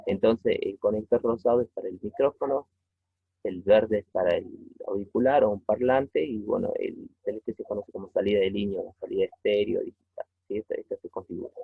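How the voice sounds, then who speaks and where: Through a tinny, phone-like recording, a man with a low, husky voice gives an informal lesson. He explains step by step as a teacher, pausing haltingly and checking in with short questions. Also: dry recording. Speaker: technology teacher. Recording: tinny phone-like narrow-band audio.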